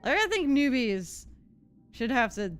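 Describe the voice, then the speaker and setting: A young adult woman streamer chatting casually over gameplay, informal and opinionated, thinking out loud. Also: sits high, big pitch swings, measured pace, soft background music. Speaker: game streamer. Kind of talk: casual live gameplay chat.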